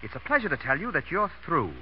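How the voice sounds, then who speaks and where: An actor playing a smug, condescending gentleman employer in a radio comedy, speaking in a clipped manner. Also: a little high, sing-song, fast. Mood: smugly curt. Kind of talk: 1930s-40s radio comedy acting. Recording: muffled, hissy old radio recording.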